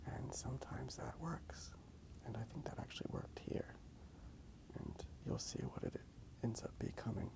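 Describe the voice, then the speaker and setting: A man rambling softly in a breathy ASMR whisper, unhurried and halting as he comments on his drawing. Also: mid-range voice, expressive. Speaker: host of a drawing ASMR channel.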